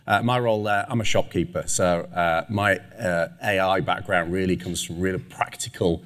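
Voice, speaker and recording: Deep-voiced British man on a panel. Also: steady tone, moderate pace, a bit bright. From United Kingdom. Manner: self-deprecating, chatty with many fillers. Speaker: panelist, chief digital officer. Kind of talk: conference panel talk. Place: conference stage before a live audience.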